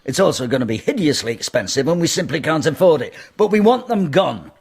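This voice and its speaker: Gravelly, blunt Roman power broker. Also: male voice, fairly high pitch, sing-song, brisk, darkish tone, full character voice. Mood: brusque, scheming. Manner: brusque, sardonic. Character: blunt, scheming Roman kingmaker, worldly and powerful man. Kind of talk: radio drama acting.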